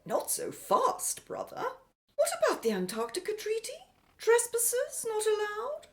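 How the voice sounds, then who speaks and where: Very high, swooping British woman, acted, plucky and arch. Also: huge pitch swings, a little slow, exaggerated voice. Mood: challenging. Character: spirited, plucky British sister, inquisitive and teasing. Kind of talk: audio drama acting.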